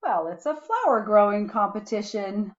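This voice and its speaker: A woman reading a children's storybook aloud expressively, adding musing asides to young listeners in a deliberate way. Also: mid-range voice, big pitch swings, muffled recording.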